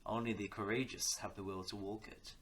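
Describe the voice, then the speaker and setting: An arrogant crime lord acted by a man holds forth in a fairly low, hard-edged voice. He is theatrical and condescending, savoring every threat as a villain in an audio drama. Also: level tone, brisk, a bit bright, husky. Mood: smug, cold. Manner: theatrical, condescending, chuckling menace. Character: menacing, arrogant male crime boss, smug mentor turned captor. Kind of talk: audio roleplay villain acting.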